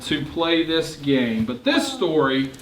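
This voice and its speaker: A man's voice: fairly high pitch, very animated, takes it slowly. A man, playfully telling stories to young children and coaxing them with questions. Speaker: children's storyteller and teacher. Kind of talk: animated story time with young children. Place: indoor room with a group of children. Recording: echoey room with clear background noise.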